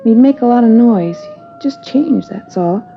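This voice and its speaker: A woman's voice: on the high side, animated, unhurried, soft background music. An actress playing a worried, earnest teenage schoolgirl who answers police questions in a hesitant, chatty way, in 1950s radio drama style. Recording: dull old radio broadcast with hiss.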